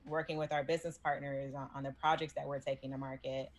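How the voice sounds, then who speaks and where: A woman introducing herself as design director on a panel, relaxed and joking. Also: extremely low pitch, fast. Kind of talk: casual panel chat.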